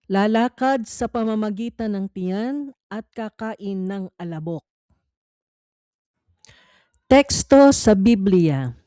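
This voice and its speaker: A Filipino woman narrating a scripted read-along Bible quiz in a measured, deliberate way with pauses between phrases. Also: mid-range voice, dark tone, no echo.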